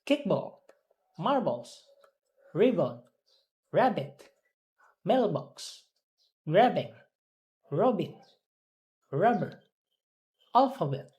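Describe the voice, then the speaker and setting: An English student carefully reading words and sentences aloud, repeating them deliberately as in a pronunciation drill. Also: mid-range voice, big pitch swings, a little mellow, highs cut off. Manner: deliberate, repeating after a teacher.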